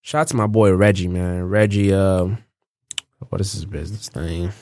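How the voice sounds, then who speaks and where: A man's voice: low voice, moderate pace, a little mellow, no echo, clean studio sound. A young man from Los Angeles, a guest in a casual interview, talking in a laid-back, rambling way with lots of fillers and restarts.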